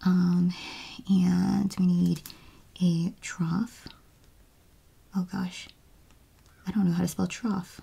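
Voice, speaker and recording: A woman's voice: on the low side, little pitch movement, very slow, gravelly, long pauses, warm tone, slightly rough. A woman chatting casually as she crafts at home, musing to herself and often trailing off into murmurs. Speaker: planner video host. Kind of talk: casual crafting chat, narrating as she works. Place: at home, indoors.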